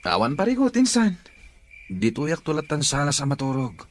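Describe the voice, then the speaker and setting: A man's voice: fairly high pitch, huge pitch swings, brisk. A man playing an easygoing young cousin. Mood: coaxing. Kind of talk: radio drama acting.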